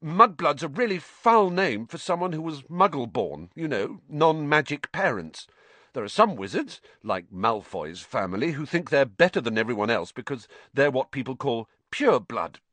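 Man doing a hoarse, indignant schoolboy. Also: medium pitch, sing-song, moderate pace, sharp tone, no echo, pitched above the performer's own voice. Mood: earnest disgust. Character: indignant, queasy young schoolboy wizard, plain-spoken.

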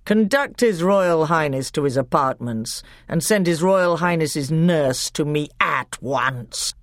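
A woman voicing a stern, cold middle-aged king who speaks slowly and commandingly, as a storybook reader. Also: very deep voice, big pitch swings, no echo, clean studio sound, heavily acted.

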